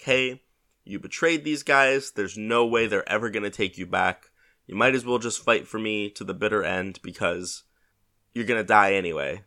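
A man's voice: on the low side, pushed and bright. Congested, rambling man hosting a podcast. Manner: rambling, self-correcting, laid-back. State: congested with a head cold. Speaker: podcast host. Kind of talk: casual solo podcast talk.

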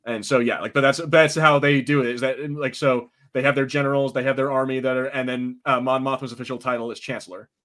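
A man co-hosts a podcast in a middling-pitched voice. He talks in a casual, informal review style and swears freely. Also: flat delivery, fast talker, hardly any pauses, a bit bright. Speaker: podcast co-host.

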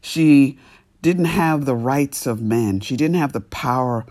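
A male pastor delivering a sermon at an unhurried, measured pace. His voice carries a slight sniffle, and he leans into key words with deliberate pauses between phrases. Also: medium pitch, a little mellow. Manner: slow, deliberate, emphatic pauses.

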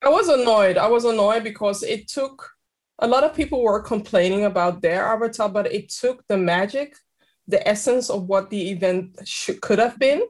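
An earnest woman on a panel discussion, speaking with emphasis and often circling back to restart a thought. Her voice sits at a middle pitch. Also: moderate pace.

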